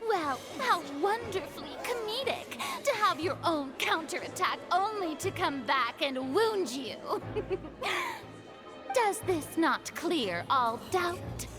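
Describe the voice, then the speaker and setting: A woman's voice: extremely high pitch, lively intonation, takes it slowly, heavily acted. A woman voicing a dramatic, showy female archon in a game cutscene, speaking grandly as if performing to an audience. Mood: grandiose. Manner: grandiose, theatrical, performing for a crowd. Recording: game audio with music.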